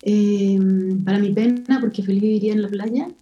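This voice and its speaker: A woman's voice: mid-range voice, fairly even intonation, moderate pace, mellow. Hesitant Chilean woman, muffled sound. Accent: Chilean Spanish. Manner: thoughtful, hesitant with many fillers. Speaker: illustrator guest. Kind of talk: casual interview conversation.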